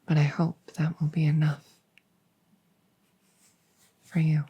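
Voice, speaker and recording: Breathy, hushed young princess, acted by a woman. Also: sits low, level tone, heavily acted. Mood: earnest, soft. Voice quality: soft, breathy, often whispering. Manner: slow, hushed, deliberate, coy pauses. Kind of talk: intimate roleplay audio drama, ASMR-like.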